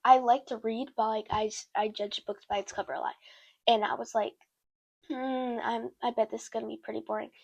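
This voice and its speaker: A woman's voice: fairly high pitch, flat delivery, fairly quick, creaky, dry recording. A woman chatting casually to her viewers while a little sick, rambling with lots of fillers and restarts. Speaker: book content creator. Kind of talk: casual chat to camera.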